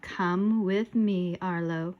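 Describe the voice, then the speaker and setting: A woman voicing the spooky, commanding ghost of a young girl in a children's audiobook, with a drawn-out, eerie delivery. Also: fairly low pitch, fairly even intonation, muffled recording. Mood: eerie, beckoning.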